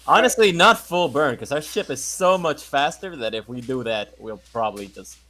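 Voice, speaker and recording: A man's voice: medium pitch, animated, moderate pace, pushed and bright. Sarcastic man at a game table. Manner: swearing, sarcastic. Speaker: player and ship pilot. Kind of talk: casual tabletop game talk.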